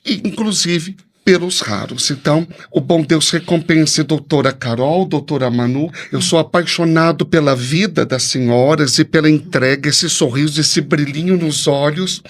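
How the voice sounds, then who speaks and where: A Brazilian man speaks as a priest and podcast guest in a fairly high voice. His delivery is heartfelt and rhetorical, with an effusive, devout way of addressing people. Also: normal speed, few pauses. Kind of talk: heartfelt spoken message.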